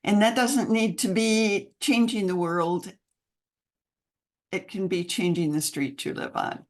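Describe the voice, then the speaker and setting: An older American woman, a mother and grandmother, speaking as a guest on a podcast interview in an enthusiastic, expressive way with frequent laughter. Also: mid-range voice, takes it slowly. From United States. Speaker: guest, mentoring program founder.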